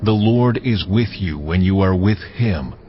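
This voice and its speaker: A man's voice: low voice, expressive, a little slow. A man playing a solemn Hebrew prophet exhorting a king and people in a dramatized audio Bible, with weighty, oratorical delivery. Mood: solemn exhortation. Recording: dull lo-fi sound, music behind. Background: music.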